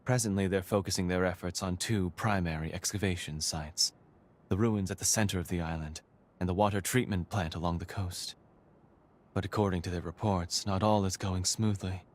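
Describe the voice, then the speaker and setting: A male actor playing a composed, courteous institute leader in a video game cutscene, speaking in formal, measured, eloquent phrases. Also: deep voice, fairly even intonation, close to an everyday voice. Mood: formal, assured. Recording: game audio with background music.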